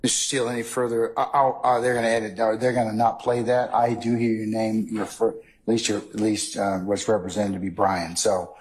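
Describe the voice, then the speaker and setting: Deep-voiced male judge, halting and deliberate. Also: flat delivery, a little hiss or hum, low-quality recording. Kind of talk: courtroom ruling. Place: large wood-paneled courtroom.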